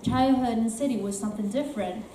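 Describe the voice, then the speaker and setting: A woman's voice: a little high, fast, dark tone, lightly acted. A woman playing a newcomer from Shanghai who earnestly compares food and football in an amateur stage performance. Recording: echoey room from far off, faint noise.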